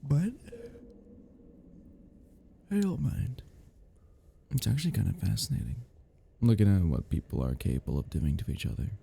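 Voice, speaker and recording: A man playing an obsessed, giddy and menacing crime scene cleaner in an intimate audio roleplay, rambling in a husky voice that drops into whispers. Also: on the low side, huge pitch swings, normal speed, long pauses, dark tone, slight background noise, exaggerated voice. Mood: obsessed, admiring. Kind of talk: intimate ASMR-style dramatic roleplay.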